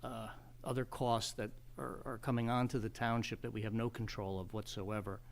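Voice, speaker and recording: A man giving unscripted committee remarks at a public meeting, halting, with repeated words and many ums. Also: fairly low pitch, flat delivery, vocal fry. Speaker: township committee member.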